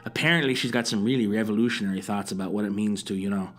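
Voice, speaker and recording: A man's voice: on the low side, quick pace, fairly bright tone, natural voice. An actor playing a shifty, wheedling dealer in contraband collectibles in an audio drama, with evasive salesman patter.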